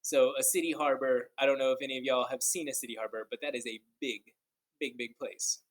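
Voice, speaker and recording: A man reading a children's book aloud like a teacher, expressive and playful, drawing out key words and dropping in casual joking asides. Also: mid-range voice, a little fast, low-quality recording. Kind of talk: children's storybook read-aloud with casual commentary.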